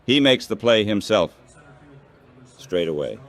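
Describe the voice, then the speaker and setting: A man calling baseball play-by-play as an announcer, in short, measured phrases. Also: on the low side, sing-song.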